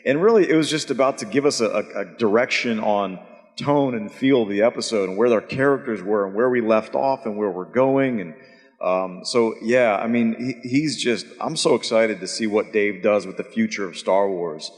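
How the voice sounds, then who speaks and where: A man's voice: lowish voice, normal speed. A man voice actor on a convention panel, answering thoughtfully with frequent hesitations. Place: large convention hall. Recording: echoey convention hall.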